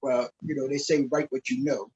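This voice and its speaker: A man's voice: medium pitch, level tone, moderate pace, a little mellow, breathy edge. A man answering casually as a guest in a remote interview, speaking as a minister, author and coach. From Queens, New York, USA. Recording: slightly dull, lo-fi call audio.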